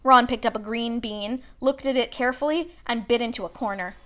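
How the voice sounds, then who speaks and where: Female storybook narrator, higher-pitched, expressive read-aloud delivery. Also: brisk.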